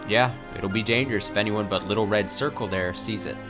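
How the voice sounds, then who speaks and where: Low-voiced sweary male streamer, music behind. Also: a little fast. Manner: exasperated, sweary, repeats phrases. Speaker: streamer reacting to game cutscenes. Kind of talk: live reaction commentary, reading subtitles aloud.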